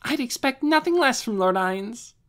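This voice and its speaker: A man voicing an excitable, fiercely loyal young girl guardian in an audiobook, eager and blurting. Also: extremely high pitch, normal speed, voice pushed up. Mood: eager admiring.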